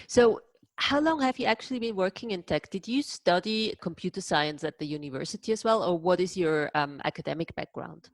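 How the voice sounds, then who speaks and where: A woman hosting a podcast, introducing the show and asking questions in a clear, measured way. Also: on the low side, animated, no room sound. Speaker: podcast host.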